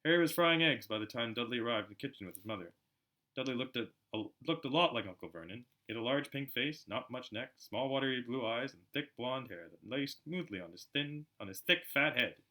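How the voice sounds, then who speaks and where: A man with a very bright, forward voice reads a story aloud. His pitch moves widely and animatedly at a middle register, in the informal way of a home audiobook reader. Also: animated, fairly quick, poor audio. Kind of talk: animated storybook narration with chatty asides.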